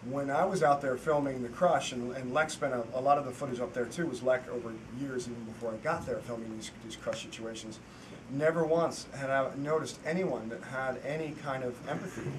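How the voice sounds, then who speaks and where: A filmmaker on a festival panel answering audience questions in earnest, rambling sentences full of restarts. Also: male voice, mid-range voice, fairly quick. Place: festival screening hall. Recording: distant mic with noisy room.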